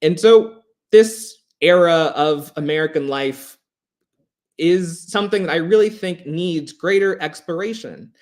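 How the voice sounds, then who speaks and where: A man giving a prepared history presentation in a measured, emphatic, formal way, as a lecturer. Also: fairly high pitch.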